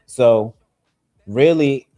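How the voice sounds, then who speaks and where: Mellow-voiced young rapper, laid-back. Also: male voice, mid-range voice, extremely slow. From Zimbabwe-born, raised in Seattle. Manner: laid-back, easygoing storytelling with slang. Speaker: rapper guest. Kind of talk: casual interview chat.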